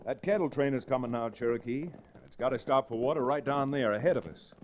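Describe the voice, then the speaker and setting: A man's voice: medium pitch, a little fast, close to an everyday voice. Guy as young western hero, confident n wry, mid voice, noisy old radio show. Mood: determined, wry. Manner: commanding, wry. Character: confident young cowboy lawyer hero named Chad. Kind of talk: 1940s radio Western acting.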